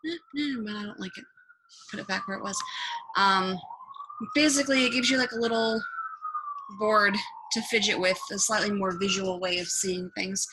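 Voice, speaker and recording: A woman presenting a software tutorial in an informal, rambling way, with offhand asides. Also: mid-range voice, a little hiss or hum, poor audio. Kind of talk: casual screen-recording software tutorial.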